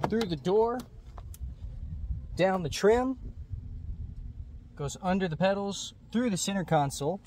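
A man hosting a do-it-yourself video, explaining an install step by step in a plainspoken, easygoing way. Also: sits high. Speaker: host of a DIY vehicle channel. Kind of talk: how-to narration of an install. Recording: noisy, vehicle ambience behind.

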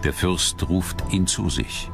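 A man narrating a documentary from a script in a measured, dramatic storytelling manner. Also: low voice, vocal fry. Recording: music under the narration. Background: background music.

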